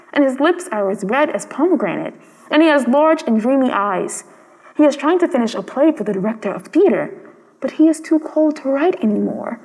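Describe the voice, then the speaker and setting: Woman voicing a high, sorrowful prince statue. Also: a little mellow, in a large hall, poor audio. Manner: pleading, solemn. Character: sad, gentle, noble male prince statue.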